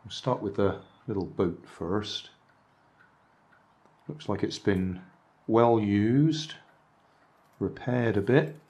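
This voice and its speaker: Chatty older grandad, lowish voice. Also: male voice, slow, long pauses, darkish tone. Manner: dry, chatty, musing asides. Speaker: vlog host reviewing charity shop finds. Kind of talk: casual show-and-tell narration. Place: indoors at a table, hands on camera.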